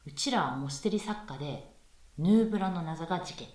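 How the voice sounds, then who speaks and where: A woman's voice: lowish voice, expressive, brisk, darkish tone, natural voice. A woman playing a playful, pushy young female university student in a conversational radio drama. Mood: playful, insistent. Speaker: voice actress in amateur radio drama. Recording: echoey room, far from mic.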